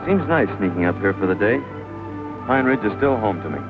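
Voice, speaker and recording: An actor playing a wry young American bachelor in a 1940s film. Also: male voice, fairly low pitch, expressive, brisk, lightly acted. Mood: wry, resigned. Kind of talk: 1940s film drama acting. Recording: tinny old film soundtrack with music.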